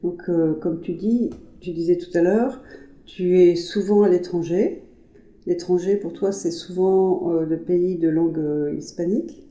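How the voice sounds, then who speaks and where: A woman interviewer who reads a formal introduction and then asks questions in a measured way. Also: lowish voice, mellow, dull audio. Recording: roomy sound with faint music.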